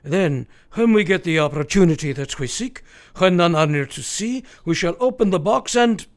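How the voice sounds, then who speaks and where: An actor playing an earnest, commanding old professor in a radio play, with formal, deliberate, instructive speech. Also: male voice, high voice, animated. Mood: resolute, instructive. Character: earnest, commanding old male professor leading vampire hunters.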